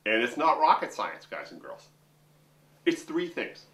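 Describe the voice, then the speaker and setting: A man's voice: mid-range voice, very animated, measured pace, fairly bright tone. Joking middle-aged male vocal coach, roomy. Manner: chatty, joking, addresses viewers directly. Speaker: vocal coach and opera singer. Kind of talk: instructional talk to camera. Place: room with a piano. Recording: roomy sound, mic at a distance.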